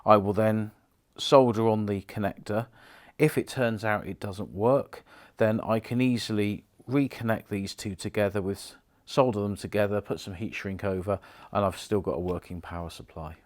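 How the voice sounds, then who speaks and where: A middle-aged British man giving an informal, unhurried hands-on commentary, explaining things step by step as he works. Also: on the low side, fairly even intonation. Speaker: presenter of a repair video, former radio engineer. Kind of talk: casual hands-on demonstration commentary.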